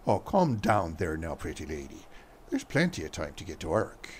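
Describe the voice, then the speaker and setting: A man's voice: sits low, huge pitch swings, normal speed, a little mellow, a little hiss or hum. A man playing a weary, good-humored dockworker husband in a radio play. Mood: teasing. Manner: folksy, teasing.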